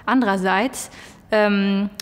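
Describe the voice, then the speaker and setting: A woman's voice: medium pitch, fairly even intonation, slow pace, clear, resonant tone, in a large hall. A woman music student, answering interview questions in her native German in an articulate, reflective way.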